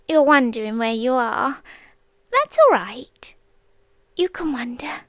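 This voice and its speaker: A British woman voicing a possessive, childlike female sea demon in an ASMR roleplay, tender and eerie, with soft breathy speech that often drops to a whisper and slow, coaxing pauses. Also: high-pitched, dry recording, studio quality. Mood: curious, soothing.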